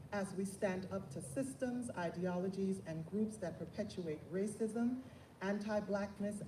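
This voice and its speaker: A woman leading a solemn prayer, reading it out in measured phrases. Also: mid-range voice. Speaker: prayer leader. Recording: distant, echoey, noisy event recording.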